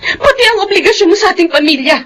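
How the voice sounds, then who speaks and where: A woman's voice: very high-pitched, lively intonation, fast, a bit bright. A woman acting a long-suffering, protective wife and mother in a radio drama, earnest and emotional. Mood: pleading, defiant. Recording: faint background noise.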